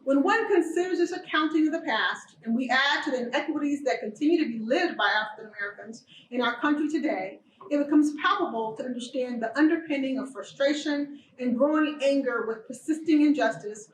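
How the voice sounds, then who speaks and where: A woman giving formal opening remarks for a college event, in a measured, earnest delivery. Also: sits high, roomy sound, distant mic, a little hiss or hum, rough recording. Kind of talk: formal prepared speech.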